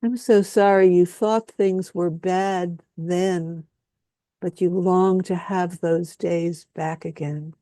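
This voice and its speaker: A woman speaking a slow, solemn spoken-word lament, with repetitive phrasing and long pauses. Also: lowish voice, steady tone, warm tone, no room sound.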